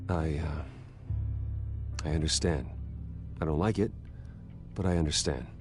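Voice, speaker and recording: Low-voiced brooding vigilante billionaire. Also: male voice, measured pace, over music. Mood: subdued. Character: brooding, strained, stubborn billionaire vigilante man. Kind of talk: video game voice acting.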